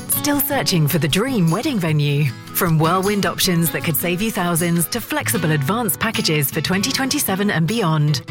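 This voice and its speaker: A woman with a lowish voice reads a scripted radio advert, smooth and persuasive. Clear guitar music plays behind her.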